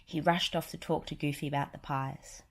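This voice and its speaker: A woman's voice: low voice, fairly even intonation, moderate pace. A woman narrating a children's picture book, reading it aloud as a story.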